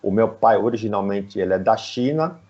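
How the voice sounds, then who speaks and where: A man with a Brazilian Portuguese accent explaining things conversationally as an interview guest, halting and often adding little tag questions, on dull online call audio. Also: lowish voice. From São Paulo, Brazil. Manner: halting, frequently tagging phrases with "né".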